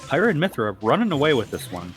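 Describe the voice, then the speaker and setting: A man's voice: mid-range voice, huge pitch swings, quick pace, faint music behind. A young man co-hosting a stream, tossing in dry, joking asides. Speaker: stream co-host. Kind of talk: casual banter.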